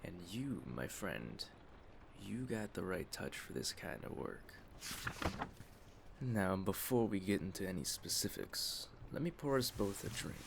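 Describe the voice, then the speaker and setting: A man playing a calm, courteous, quietly menacing mafia boss in an ASMR roleplay, speaking in a measured, deliberate way with a gravelly voice. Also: heavily acted. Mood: businesslike, welcoming. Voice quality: gravelly, low. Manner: measured, deliberate, smooth-talking, faintly menacing. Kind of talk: ASMR roleplay addressed to the listener. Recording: steady noise of storm ambience behind. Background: storm ambience.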